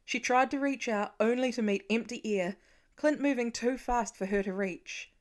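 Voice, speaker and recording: A woman narrating scripted fiction as an audiobook reader, with an expressive, suspenseful delivery. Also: fairly high pitch, normal speed.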